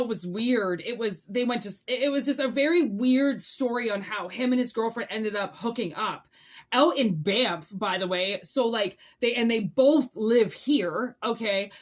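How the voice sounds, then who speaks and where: A woman with a somewhat high-pitched voice hosts a tarot reading. She talks casually and conversationally, wandering into asides and tossing in rhetorical questions as if chatting with the listener. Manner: rambling, conversational, with asides and rhetorical check-ins. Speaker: tarot reader hosting zodiac readings.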